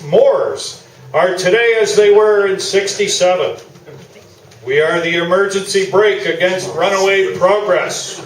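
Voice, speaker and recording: A man playing a pompous, self-satisfied old-time sports columnist in a live stage radio play. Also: high-pitched. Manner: pompous, orating. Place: small theatre, live performance. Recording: roomy stage recording from far off.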